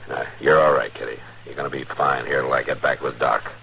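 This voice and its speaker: Very deep-voiced frontier marshal. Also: male voice, fairly even intonation, fairly quick, bright, forceful tone, exaggerated voice. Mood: reassuring. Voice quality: deep, gruff. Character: stern, protective frontier US marshal, big-framed, steady. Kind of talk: 1950s western radio drama acting. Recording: dull, noisy old radio recording.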